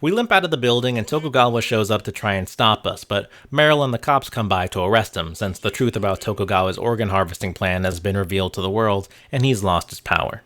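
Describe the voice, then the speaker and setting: A man's voice: on the low side, quick pace, few pauses, a bit bright. A man narrating a casual video game essay with wry, sarcastic commentary and casual swearing. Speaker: host of a video game review channel.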